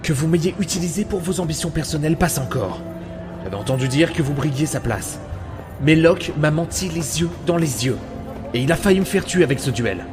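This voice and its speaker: A man's voice: a little high, lively intonation, fairly quick, close to an everyday voice. A man playing a sardonic, grumbling adventurer over music. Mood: resentful, grumbling. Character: gruff, sardonic male adventurer, impatient loner. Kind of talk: audio adventure drama acting. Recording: loud music behind the voice.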